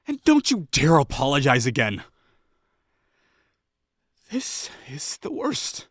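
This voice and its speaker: A man's voice: mid-range voice, slightly rough, no echo, studio quality, full character voice. A young man playing a haughty, sarcastic college rival who is secretly lonely, in a scripted audio roleplay. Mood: scornful. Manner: sarcastic, scornful, quick to bristle.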